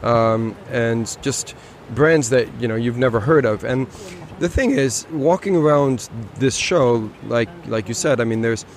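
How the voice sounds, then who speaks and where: Relaxed man chatting at a car show. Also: mid-range voice, measured pace, hardly any pauses. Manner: relaxed, rambling with restarts. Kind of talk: casual walkaround chat. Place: busy motor show hall.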